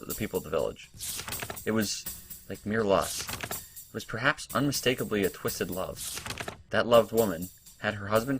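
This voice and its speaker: A man playing a game who reads the on-screen text aloud and then thinks it through in halting, casual commentary. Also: lowish voice, fairly bright tone, poor audio. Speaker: let's play host. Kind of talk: reading game text and reacting.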